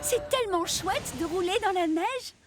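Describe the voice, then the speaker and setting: A woman's voice: very high voice, animated, normal speed, music in the background. A woman voicing a cheerful, eager young female steam engine in a French-dubbed children's cartoon, with a bubbly, exclamatory delivery. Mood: teasing.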